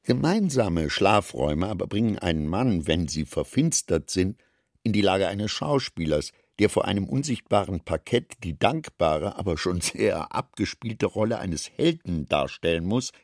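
A man reading scripted literary narration for a radio play in a measured, articulate, dryly ironic manner. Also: low voice, big pitch swings, darkish tone, dry recording, clean studio sound.

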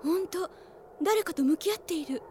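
A woman's voice: very high voice, expressive, normal speed, warm tone. An actress playing a tender, polite young woman knight, the caring older sister, in a radio drama. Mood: surprised. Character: gentle, soft-spoken older-sister female knight. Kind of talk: Japanese radio drama acting. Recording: faint ambient noise.

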